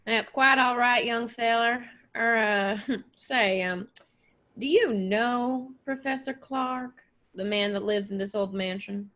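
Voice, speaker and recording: A folksy, easygoing country sheriff, played by a woman in a radio play. He gossips in a hesitant, rustic way, and the voice sits a little high. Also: steady tone, slow, a bit bright. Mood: folksy, gossipy.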